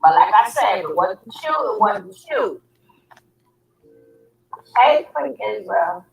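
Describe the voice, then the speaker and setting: A woman with a hoarse, raspy voice hosting a casual livestream chat. She laughs often and keeps repeating her words. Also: mid-range voice, big pitch swings, takes it slowly, poor audio. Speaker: livestream host.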